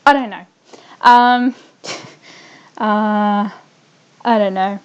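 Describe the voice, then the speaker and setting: A young woman from New Zealand with a New Zealand accent slightly softened by Australia, chatting on a casual gaming livestream and rambling off mid-sentence as she builds. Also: medium pitch, steady tone, extremely slow, clear, resonant tone. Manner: hums and makes small random noises while working. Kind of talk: casual livestream chat while building in a game.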